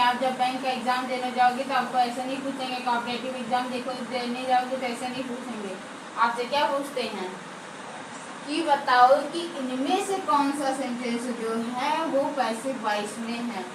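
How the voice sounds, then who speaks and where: High-voiced woman teaching, echoey and distant. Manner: asks and answers her own questions; slow and dictating. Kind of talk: classroom grammar lesson. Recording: echoey room, mic far off, noisy.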